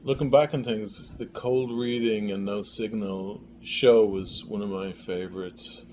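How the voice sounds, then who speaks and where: A man's voice: fairly low pitch, steady tone, unhurried, darkish tone. A man talking casually in an interview, hesitantly searching for his words as he reflects. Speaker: filmmaker and sound designer being interviewed. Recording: clear background noise.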